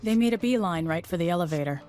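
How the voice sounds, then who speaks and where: A woman's voice: fairly low pitch, expressive, fast talker, darkish tone, faint music behind, close to an everyday voice. An actress playing a guarded but hospitable small-town woman in a video game, speaking in a frank, firm way. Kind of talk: video game cutscene voice acting.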